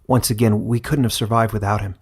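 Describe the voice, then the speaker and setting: A man's voice: on the low side, fairly even intonation, fairly quick, slightly rough. A man voicing an earnest, humble boy hero in a fantasy audiobook, speaking in a hesitant, sincere way. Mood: grateful, fond.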